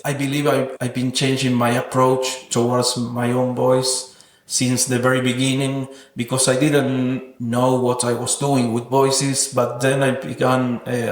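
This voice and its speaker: A man speaking reflectively and earnestly as an interviewed artist, with hesitant, non-native phrasing. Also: mid-range voice. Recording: roomy, echoing sound.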